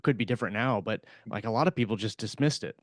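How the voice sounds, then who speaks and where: A man's voice: on the low side, fast talker, no room sound. Thoughtful man, dry close mic. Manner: thoughtful, steady, frequent agreeing. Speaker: podcast co-host. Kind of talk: casual panel discussion.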